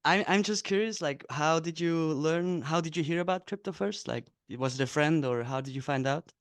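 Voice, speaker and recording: Smooth-voiced casual podcast host, man of thirty. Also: a little high, moderate pace, fairly bright tone, no echo, studio quality. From Switzerland.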